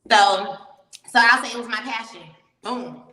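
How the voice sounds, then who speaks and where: A young woman from Miami talks as an interview guest, frank and chatty, telling her story with easy laughs. Her voice is bright and forward. She sits back from the mic in an echoey room. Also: on the high side, fairly even intonation, measured pace, distant mic. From Miami, Florida. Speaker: guest, professional dancer. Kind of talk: casual interview chat.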